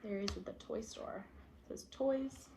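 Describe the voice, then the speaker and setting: A woman reading a children's picture book aloud expressively, with soft explanatory asides for young listeners. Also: a little high, moderate pace, vocal fry, heavy background noise. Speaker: read-aloud storyteller.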